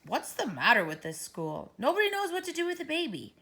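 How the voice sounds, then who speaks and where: A woman's voice: sits high, huge pitch swings, fairly quick, sharp tone, pitched above the performer's own voice, exaggerated voice. A woman voicing an earnest little schoolboy who sounds urgent and pleading.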